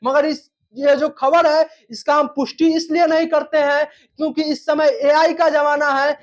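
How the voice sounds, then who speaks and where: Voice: high voice, flat delivery, moderate pace. A news presenter giving an informal commentary on viral news in repetitive, wordy phrasing. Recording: dull lo-fi recording.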